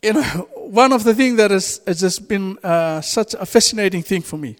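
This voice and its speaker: High-voiced Fijian-accented man preaching. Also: moderate pace. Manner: animated, laughs often, rises to exclamations. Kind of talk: informal sermon. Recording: reverberant hall.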